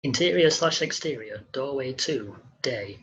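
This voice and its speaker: A man's voice: mid-range voice, animated, a little slow, a bit bright, a little hiss or hum, rough recording. A man reading stage directions as scripted narration.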